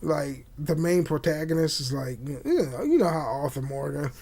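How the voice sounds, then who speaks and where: A young man hosting a podcast, chatting casually and haltingly, with repeated words and frequent swearing. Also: a little high, expressive, gravelly, mellow. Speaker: podcast host. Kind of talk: casual rambling chat with swearing. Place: small home room indoors. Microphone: condenser mic on boom arm.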